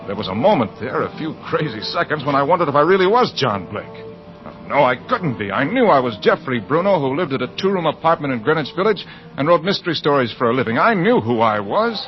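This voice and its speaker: An American actor in an old-time radio drama, playing a bewildered young mystery writer who narrates in a hesitant, brooding way. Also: male voice, fairly high pitch, very animated, fast. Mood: brooding, uneasy. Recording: dull old radio recording, music behind.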